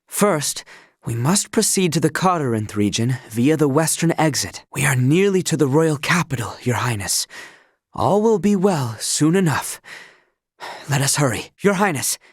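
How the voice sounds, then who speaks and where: Earnest, formal young knight, dry sound. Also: male voice, a little high, very animated, normal speed, dry recording. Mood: urgent. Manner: courtly, archaic, precise, formal diction. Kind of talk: video game character voice lines.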